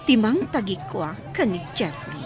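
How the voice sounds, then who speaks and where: A woman's voice: mid-range voice, sing-song, a little slow, soft background music. A woman playing a scheming, lovesick young woman with melodramatic delivery in an Ilocano radio drama. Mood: scheming, smug.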